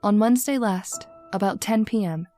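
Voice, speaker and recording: An anxious, devoted young heroine played by a woman in an old-time radio horror play. She speaks earnestly with formal, melodramatic phrasing at a medium pitch over faint music. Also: moderate pace, warm tone, lightly acted. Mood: grave, haunted. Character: anxious, devoted young married daughter narrating her haunting.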